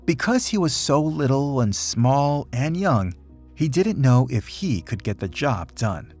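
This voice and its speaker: A man reads a children's story in rhyming verse with a slightly husky, breathy edge to his voice. His pitch moves in a lively, dramatic way as he tells the tale. Faint music plays behind him. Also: unhurried. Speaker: storybook reader. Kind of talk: children's picture book read-aloud, rhyming verse.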